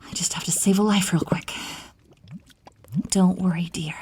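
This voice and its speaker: A woman playing a centuries-old moon spirit healer in a fantasy audio roleplay, motherly and brisk, with an expressive, hushed delivery. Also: fairly low pitch, a little hiss or hum, close to an everyday voice. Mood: relieved, chiding.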